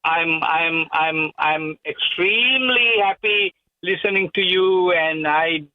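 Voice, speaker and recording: A man's voice: on the high side, slow pace, background noise. An older man from Sri Lanka, calling in to a radio show, eager and effusive, stumbling over repeated words. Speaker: caller. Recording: tinny phone line.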